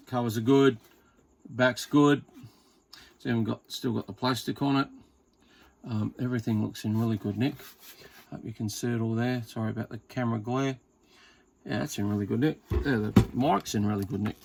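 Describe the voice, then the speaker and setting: Casting: Australian male hobbyist, creaky mid-pitched voice, informal off-the-cuff unboxing chat over faint background noise. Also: darkish tone. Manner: rambling, informal, thinking aloud with mild swearing. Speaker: hobbyist radio reviewer. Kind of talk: casual unboxing and test commentary.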